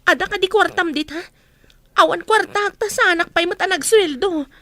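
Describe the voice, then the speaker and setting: An actress playing a burdened, reproachful eldest sister in a radio drama. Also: female voice, very high voice, fairly quick, sharp tone. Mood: scolding.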